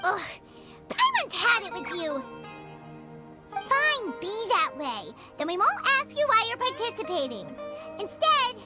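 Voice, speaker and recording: A woman's voice: extremely high pitch, wildly swooping pitch, measured pace, loud music behind the voice, exaggerated voice. A woman voicing a tiny floating female companion sprite who is blunt, pushy and refers to herself by name, in video game dialogue. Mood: annoyed.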